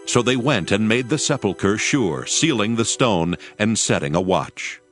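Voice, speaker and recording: A man narrating a dramatized Bible reading in a solemn, measured storytelling manner. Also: on the low side, expressive, fairly bright tone, faint music behind.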